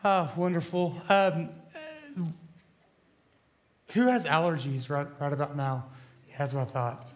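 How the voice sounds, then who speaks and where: A young man preaches in a hoarse, scratchy voice, high-pitched for a man. He rambles and jokes at his own expense, stumbling through fillers and restarts as he talks to a church crowd. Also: fairly even intonation, a little slow, long pauses. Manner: rambling, self-deprecating, many fillers and restarts. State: hoarse from bronchitis. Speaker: student pastor. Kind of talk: casual joking sermon. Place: church hall.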